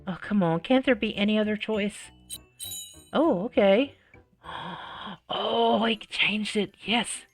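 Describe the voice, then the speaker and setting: A woman playing through a visual novel, reading aloud and reacting in an expressive, exclaiming way. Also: medium pitch, slow, faint music behind. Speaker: gaming streamer.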